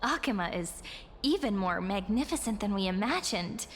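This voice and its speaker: A woman playing a polite, inquisitive young traveller heroine in a video game, gentle and thoughtful. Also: mid-range voice, lively intonation, moderate pace, slight background noise, natural voice. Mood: polite, friendly. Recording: long hall reverb.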